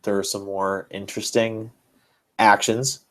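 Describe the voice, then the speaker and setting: A man's voice: lowish voice, little pitch movement, unhurried, fairly bright tone. A man hosting a podcast, giving a mock-serious dramatic reading of fan fiction. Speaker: podcast host.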